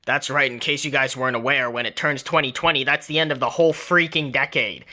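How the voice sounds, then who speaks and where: A man's voice: medium pitch, steady tone, fairly quick, pushed and bright. A man hosting his own podcast, rambling casually and joking in an in-character persona. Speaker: podcast host.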